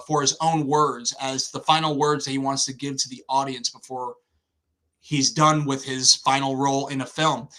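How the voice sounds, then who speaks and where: Male review host, very bright forceful voice on the higher side, slight lisp, slangy and profane. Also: rough recording. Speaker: host of a film review show. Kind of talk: casual, profane film review commentary.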